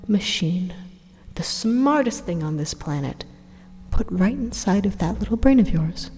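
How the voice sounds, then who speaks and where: A woman playing an evil, persuasive supercomputer in an ASMR roleplay, calmly menacing in a breathy, hushed, deliberate monologue with dramatic pauses. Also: lowish voice. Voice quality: breathy, whispery. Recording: long hollow reverb on the voice.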